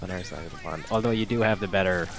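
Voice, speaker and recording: Low-voiced man in joking banter. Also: rapid-fire. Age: 20s. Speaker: speedrun commentator. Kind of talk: casual gaming commentary.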